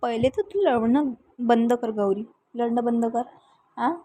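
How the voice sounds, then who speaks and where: A woman's voice: a little high, takes it slowly, dark tone, dry recording. A woman with a Varhadi Marathi accent voicing a bossy, stern village mother who scolds in an amateur cartoon comedy. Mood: angry, scolding. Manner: scolding, repetitive commands.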